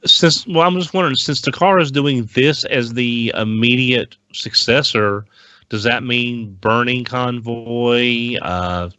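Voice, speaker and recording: A man's voice: mid-range voice, measured pace, pushed and bright, dry recording. A man on a casual podcast panel, speculating in a tentative way.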